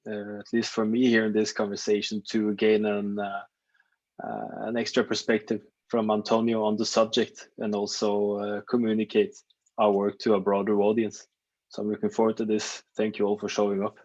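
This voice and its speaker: A man's voice: fairly low pitch, monotone, normal speed, darkish tone. A man from Norway introducing himself on a panel, polite and a little hesitant. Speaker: community engagement worker. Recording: dull lo-fi sound.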